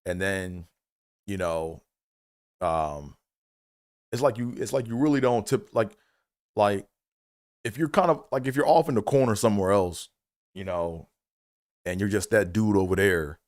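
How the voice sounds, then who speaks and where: A man's voice: lowish voice, unhurried, long pauses, darkish tone, dry recording, clean studio sound. A middle-aged man from Maryland, a comedian and engineer hosting his own livestream, talking loosely with lots of fillers and "you know what I'm saying.". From Maryland, USA. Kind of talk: casual solo livestream monologue.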